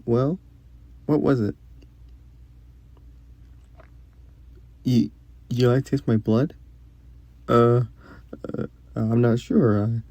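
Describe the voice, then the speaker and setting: Mellow, courteous young prince, acted by a man. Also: medium pitch, steady tone, slow pace, dry recording. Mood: flustered, shy. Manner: formal, polite, apologetic, hesitant. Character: young prince, courteous, gentle, shyly curious royal. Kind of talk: romantic fantasy audio roleplay.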